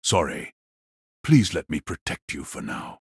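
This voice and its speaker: A man playing a stern, commanding elite warrior in an audio drama, curt and husky. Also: a bass voice, sing-song, a little slow, no room sound, clean studio sound, exaggerated voice. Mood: firm, apologetic. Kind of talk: audio drama character acting.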